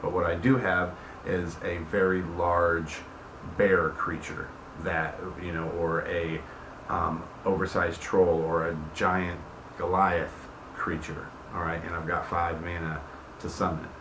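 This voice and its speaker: A deep-voiced man gives a casual, informal explanation as a game master, checking in with his listeners as he goes. The recording is roomy and echoey, with audible noise behind him. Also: little pitch movement, a little slow. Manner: informal, checks listeners follow with tag questions. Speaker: host explaining house rules as dungeon master. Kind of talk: casual explanatory talk to camera. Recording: echoey room with background noise.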